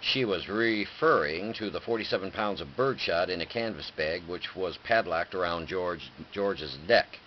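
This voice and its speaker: A man's voice: low-pitched, lively intonation, moderate pace, hardly any pauses. A man reading a story aloud as narrator, occasionally stumbling and correcting himself. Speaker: reader. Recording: dull lo-fi recording with clear background noise.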